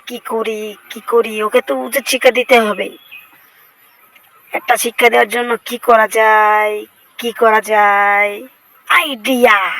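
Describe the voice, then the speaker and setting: Bright, brash cartoon cat put on by a dubber. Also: medium pitch, rough recording. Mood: aggrieved, scheming. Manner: exclamatory, whining and bragging, talking to himself. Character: boastful, vengeful cartoon cat obsessed with getting strong. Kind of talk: comic fan-dub cartoon voice-over.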